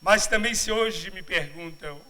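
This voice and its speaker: A middle-aged man from Bahia, Brazil, giving a spiritual lecture at a congress, mixing humorous asides with measured, didactic explanation. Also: high voice, bright, forceful tone. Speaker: congress speaker. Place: congress auditorium.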